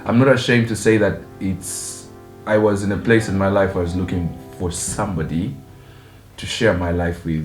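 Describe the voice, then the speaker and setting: A young man from Uganda shares a story on his couple's channel, talking casually with a somewhat low voice and easy laughs. The room sounds echoey, and soft guitar music plays underneath. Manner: relaxed, chatty, laughs often.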